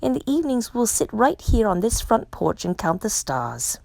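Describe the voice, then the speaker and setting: A woman's voice: fairly high pitch, very animated, normal speed, gravelly, darkish tone, dry recording, pitched above the performer's own voice, full character voice. A woman voicing a cheerful, caring male frog in a children's storybook, coaxing and exclaiming. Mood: encouraging. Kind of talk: children's storybook read-aloud.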